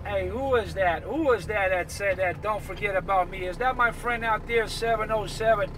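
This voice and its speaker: High-pitched New man chattering on CB radio. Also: normal speed. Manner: repetitive street-slang call-outs. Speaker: CB radio operator. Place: in a vehicle on the road. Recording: noisy, with vehicle and outdoor traffic sounds.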